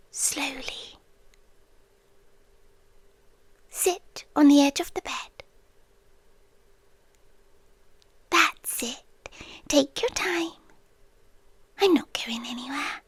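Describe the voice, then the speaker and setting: Woman acting a very slow, whispering British yandere girlfriend. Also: sits high, dry recording. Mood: coaxing. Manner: slow, breathy whispering with long pauses. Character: obsessive yandere girlfriend, teasing, eerie, possessive. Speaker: ASMR roleplay performer. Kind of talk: whispered ASMR roleplay.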